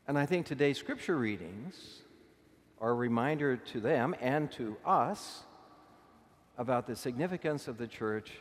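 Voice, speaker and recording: A middle-aged male bishop preaches from the pulpit at an ordinary pitch. His delivery is conversational, but he slows down and leans on key words. His voice rings out into a long church reverb. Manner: conversational preaching, slow deliberate emphasis. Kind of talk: sermon.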